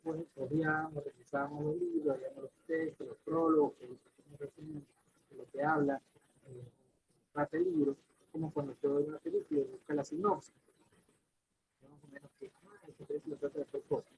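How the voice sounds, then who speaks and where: A man teaching a class in a loose, chatty lecture, his voice leaping between high and low. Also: gravelly, narrow, tinny audio, a little hiss or hum.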